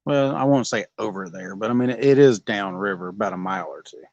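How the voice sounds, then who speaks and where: A man's voice: lowish voice, quick pace, darkish tone, dry recording, highs cut off. A man, joking and chatting casually while night fishing on a lake, coaxing the fish aloud. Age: 40s. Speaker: fishing vlog host.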